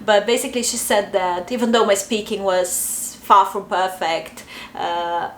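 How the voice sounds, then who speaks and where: A woman's voice: mid-range voice, steady tone, unhurried, fairly bright tone. Brief: young woman vlogger, Bulgarian accent, hesitant and chatty, roomy echo, faint background hum. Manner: chatty, hesitant, many fillers. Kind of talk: casual talk to camera. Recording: echoey room, faint ambience.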